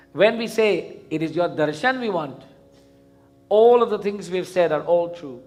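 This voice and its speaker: High-voiced Guyanese man preaching, music behind. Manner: didactic, questioning, deliberate repetition. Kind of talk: interactive sermon. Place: live event in a temple before a congregation.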